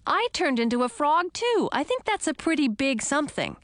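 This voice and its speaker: Woman as an excitable, high-voiced young princess frog. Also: moderate pace, no echo. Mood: indignant. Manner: exclaiming, insistent, high-strung. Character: impulsive young princess frog, blunt and eager.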